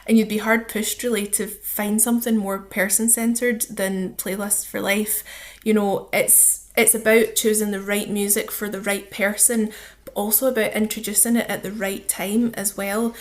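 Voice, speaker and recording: Female charity spokesperson for a radio interview: mid-pitched, fairly level delivery, earnest and explanatory. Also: level tone, moderate pace. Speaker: charity communities officer, interview guest.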